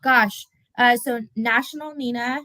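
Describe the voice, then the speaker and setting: A woman's voice: a little high, steady tone, unhurried, bright, forceful tone, no echo. Upbeat woman guest, dry close sound. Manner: upbeat, cheering, chatty. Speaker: podcast guest. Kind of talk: casual live-stream chat.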